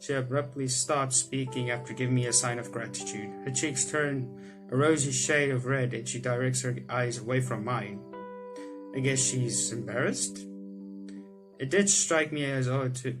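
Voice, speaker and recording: A young man hosting a gaming video, reading the game's text aloud and chatting about it with restarts and quick laughs. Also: mid-range voice, fairly even intonation, music in the background, poor audio. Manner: chatty, self-correcting, breaks into laughs and reactions. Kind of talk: let's-play reading game text aloud with comments.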